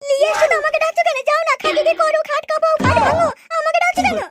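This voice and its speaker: A woman's voice: very high voice, level tone, very fast, over loud music. A woman voicing a brash, vain and quarrelsome village woman in a cartoon, insistent and boastful. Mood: huffy. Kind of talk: cartoon dialogue. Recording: noisy track with clicks.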